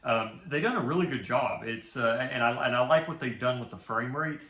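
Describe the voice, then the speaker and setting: Bright-voiced man with a Southern drawl. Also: mid-range voice, brisk, reverberant. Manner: chatty and rambling, joking at his own expense. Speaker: film vlogger and filmmaker. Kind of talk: casual film-ranking vlog. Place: home office with the window open.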